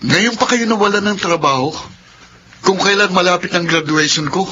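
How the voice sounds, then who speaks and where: An actor playing a resentful college-age son in a radio drama, insistent and reproachful. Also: male voice, high-pitched, normal speed, over loud music, close to an everyday voice. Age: young adult. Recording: muffled, noisy radio broadcast.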